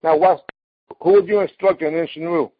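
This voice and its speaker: Male interviewer, slightly high voice, effusive and respectful, casual talk-show delivery over dull lo-fi call audio. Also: steady tone, a little fast. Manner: emphatic, effusive, respectful, often addressing guest as sir. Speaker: interviewer, host of a martial arts talk show. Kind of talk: casual interview, enthusiastic introduction.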